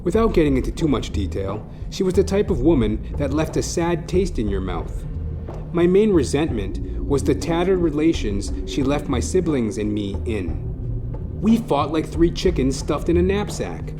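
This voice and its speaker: A man's voice: fairly high pitch, very animated, a little slow. A man narrating a scripted comic monologue in a theatrical, wordy, mock-confessional way. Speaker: podcast host and comedian. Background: music behind the voice.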